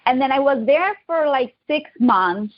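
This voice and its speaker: A woman in her thirties with a Colombian accent, speaking in a fairly high voice. She chats quickly and warmly about her own story as a podcast guest. The recording sounds dull and lo-fi. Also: lively intonation. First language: Spanish. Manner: upbeat, quick and chatty, laughs easily. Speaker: guest, digital marketing agency owner. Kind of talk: casual interview storytelling.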